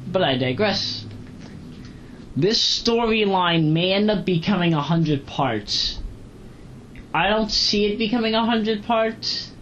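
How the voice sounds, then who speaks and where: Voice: medium pitch, animated, slow, a bit bright. A person giving a casual, rambling review as an audio log, often repeating and correcting themself, recorded at home. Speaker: amateur online reviewer. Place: at home, moving between kitchen and bedroom. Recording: muffled, noisy home audio with fan. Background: fan running.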